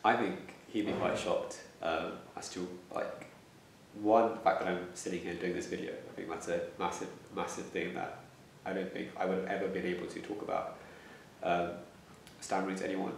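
A man's voice: low-pitched, flat delivery, normal speed, creaky, slight background noise. A man in his twenties who stammers, repeating words and restarting as he answers interview questions reflectively. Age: 20s. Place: plain room indoors. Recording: echoey room, slightly distant mic.

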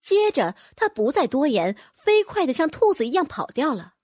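A woman narrating a fantasy audiobook in an expressive storytelling manner. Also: high-pitched, moderate pace, no room sound, clean studio sound. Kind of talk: scripted narration.

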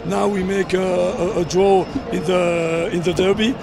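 An older man coaching football, speaking at a post-match press scrum outdoors, halting with many fillers and repeated words. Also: high voice, level tone, gravelly, a crowd in the background. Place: outdoors at a sponsor backdrop, surrounded by reporters. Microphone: cluster of handheld reporter mics and phones.

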